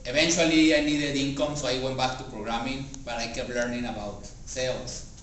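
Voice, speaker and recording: A man's voice rings through a very echoey room, picked up from a distance over faint noise. He is a non-native English speaker giving a personal motivational speech. His delivery is halting, with deliberate pauses between phrases. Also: medium pitch, fairly even intonation, a bit bright. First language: not English. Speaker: club member giving a practice speech. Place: indoor meeting room before a small audience. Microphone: distant camera or room microphone.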